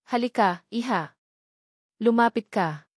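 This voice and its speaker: Woman narrator as elderly former nanny, frail, weak voice, sorrowful, confiding, slow and grave, audio story, dry recording. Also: fairly high pitch, dry recording. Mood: gentle, confiding. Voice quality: weak, breaking voice.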